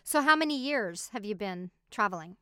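A woman's voice: medium pitch, expressive, moderate pace, fairly bright tone, smooth. A woman hosting an interview, asking short, prompting questions. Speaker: interview host. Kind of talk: conversational interview.

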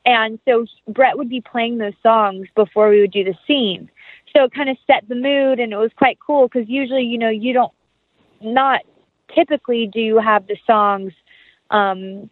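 A woman's voice: a little high, fairly even intonation, normal speed, dry recording. Chatty woman on a phone-like line. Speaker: actress, interview guest. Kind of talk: casual conversational interview.

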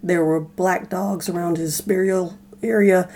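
Mellow, slightly husky woman explaining casually. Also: lowish voice, fairly even intonation, a little slow. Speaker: podcast co-host. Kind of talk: casual explanatory podcast chat.

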